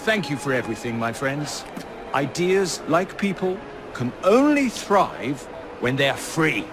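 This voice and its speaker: Elderly Victorian scholar, English accent, dignified, stubborn, lively swooping intonation, music underneath. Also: male voice, a little high, a little slow. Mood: grateful. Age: older. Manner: formal, eloquent. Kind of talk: video game cutscene acting.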